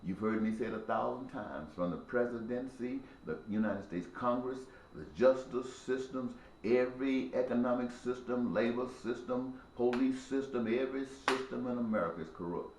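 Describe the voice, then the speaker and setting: A man's voice: medium pitch, normal speed, few pauses, darkish tone, reverberant, slight background noise, low-quality recording. A man in his sixties giving an impassioned spoken monologue with a preachy, repetitive, emphatic cadence. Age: 60s. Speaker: speaker addressing viewers.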